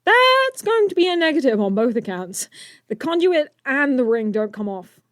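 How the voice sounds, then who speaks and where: A young woman playing an eager, brainy teenage inventor hero in an audio drama, geeky and expressive. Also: high-pitched, normal speed, no echo, studio quality. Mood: resigned.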